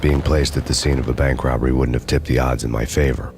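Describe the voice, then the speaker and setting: An actor playing a world-weary undercover cop who narrates a noir story in a dry, sardonic way. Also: male voice, very deep voice, steady tone, fast, gravelly. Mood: grim. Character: grim hard-boiled male undercover cop narrating. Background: music.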